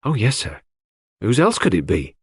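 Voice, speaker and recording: A man's voice: lowish voice, huge pitch swings, moderate pace, slightly rough, no room sound, studio quality, full character voice. A man voicing an old, loyal English housemaid who is deferential, old-fashioned and easily indignant, in an audiobook mystery. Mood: deferential, confiding.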